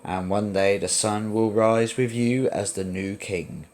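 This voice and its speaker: A man's voice: lowish voice, steady tone, measured pace, a little mellow, a little hiss or hum, pitched below the performer's own voice, lightly acted. Casting: noble lion king, protective father, solemn storybook delivery, read by a male narrator.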